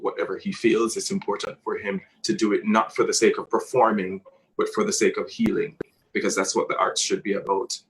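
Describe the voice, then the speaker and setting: Earnest Jamaican man, low even voice. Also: rough recording. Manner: earnest, halting, emotional. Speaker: theatre director. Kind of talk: reflective artist talk.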